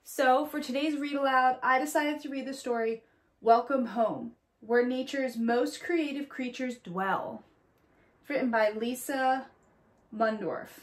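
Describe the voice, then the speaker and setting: Lady teacher doing a kids read aloud, highish voice, chats to the kids between lines. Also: measured pace. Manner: addresses young listeners directly with questions and tag "right?". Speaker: teacher reading aloud to children. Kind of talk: children's picture book read-aloud with asides. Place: small indoor room at home or classroom corner.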